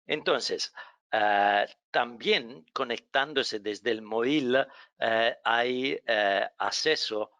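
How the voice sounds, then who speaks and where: A man whose first language is Italian hosts a medical webinar in welcoming, slightly halting Spanish on a video call. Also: mid-range voice, sharp tone, no room sound. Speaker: webinar host for a medical company.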